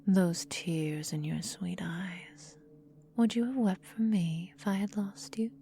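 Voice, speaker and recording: A woman voicing a menacing, possessive vampire mistress in a whispered ASMR roleplay, calm and deliberate, breathy, close to the microphone. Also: on the low side, noisy background. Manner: slow, breathy, deliberate, coolly domineering.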